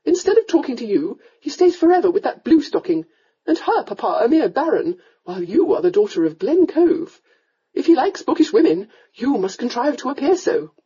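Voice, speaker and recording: A woman's voice: big pitch swings, moderate pace, mellow, husky, highs cut off, full character voice. A woman voicing a fussy, domineering countess scolding her daughter in a storybook reading. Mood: scheming. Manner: scolding, shrill, melodramatic.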